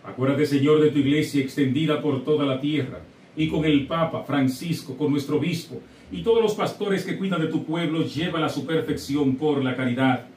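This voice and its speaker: A middle-aged man with a Dominican Spanish accent, a priest leading Mass in solemn, measured liturgical prayer. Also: fairly high pitch, darkish tone. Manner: solemn, measured liturgical recitation, also saying the people's responses. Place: small studio before a backdrop. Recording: roomy, slightly distant mic with faint hiss.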